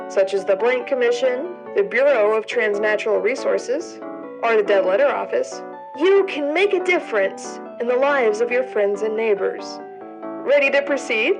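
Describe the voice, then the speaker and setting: A woman's voice: on the high side, poor audio. A woman playing a polished orientation video narrator, over-enunciating an official script in an audio drama. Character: cheery female corporate orientation narrator, privately exasperated.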